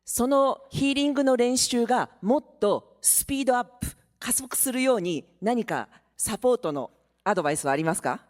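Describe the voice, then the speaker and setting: A woman from Japan asks earnest questions from the audience. She speaks quickly, her pitch rising and falling a great deal. The voice carries a long hall reverb. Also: lively intonation. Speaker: audience member asking questions. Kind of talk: question from the floor.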